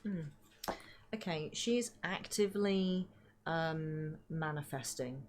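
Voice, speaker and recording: A woman giving a casual tarot reading, chatty with many fillers, her voice a little hoarse as she loses it. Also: deep voice, slow pace, a little mellow, a little hiss or hum. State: losing her voice. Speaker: tarot reader.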